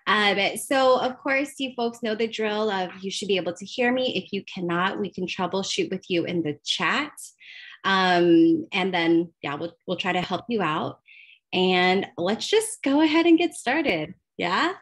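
A woman's voice: mid-range voice, a little slow. A woman moderating a student panel over a video call, friendly with many fillers.

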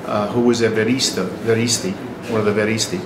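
A man's voice: lowish voice, steady tone, fast. Man reminiscing in an echoey museum room. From New York. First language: Sicilian. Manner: warm storytelling with many fillers and restarts. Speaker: interviewee, English professor. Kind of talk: casual reminiscing interview. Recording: roomy echo with room noise.

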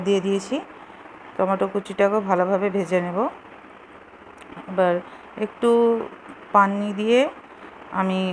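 A woman giving casual, step-by-step cooking instructions in simple words, as host of a home recipe video. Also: medium pitch. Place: home kitchen. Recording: clearly noisy home kitchen recording.

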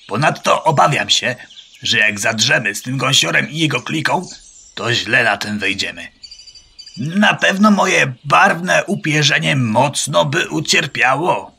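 A man's voice: medium pitch, expressive, measured pace, gravelly, bright, forceful tone, a little hiss or hum, heavily acted. A man playing a vain, cowardly, pompous rooster in a children's audio play. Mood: worried, pompous. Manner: pompous, cautious. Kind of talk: children's audio drama acting.

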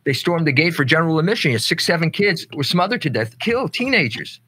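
A man co-hosting a podcast from a studio set, reflecting on past events in a measured way. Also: a little high, expressive, pushed and bright, slightly rough. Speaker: podcast co-host.

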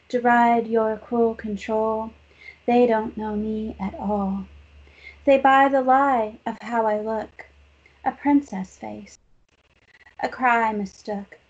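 Woman poet for a measured verse reading, unhurried, heard through lo-fi video call audio. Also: a little high, darkish tone, husky. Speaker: poet reading own work. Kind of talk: poetry reading.

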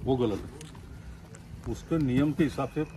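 A man's voice: mid-range voice, slow pace, dark tone, slight background noise. A man from Rajasthan answering casually as a goat trader, repeating words and phrases for emphasis. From Rajasthan, India. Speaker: goat farm trader giving advice.

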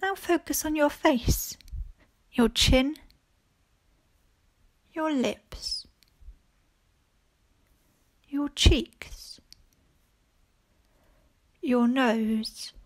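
A woman teacher leading a guided mindfulness body scan for children, speaking calmly and gently in short phrases with long pauses. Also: high-pitched. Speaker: primary school teacher leading a guided meditation.

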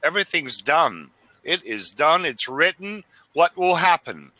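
A man's voice: on the high side, sing-song, a little slow, pushed and bright, dry recording, poor audio. A man in his forties from Arizona, calling into a video chat and talking fervently about faith, rambling and tripping over words. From Arizona, United States. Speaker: caller. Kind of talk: casual religious video chat. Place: small dim room indoors. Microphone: headset.

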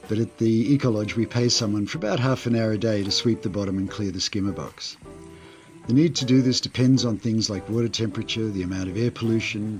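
Male owner reading a measured voice-over, lower voice, soft music under it. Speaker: eco lodge owner. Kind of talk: scripted voice-over narration.

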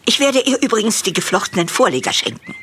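An actress playing a prim elderly farm woman in an audio drama, dry and measured, with sentiment underneath. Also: mid-range voice, big pitch swings, fairly bright tone, a little hiss or hum. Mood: matter-of-fact. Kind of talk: German audio drama acting.

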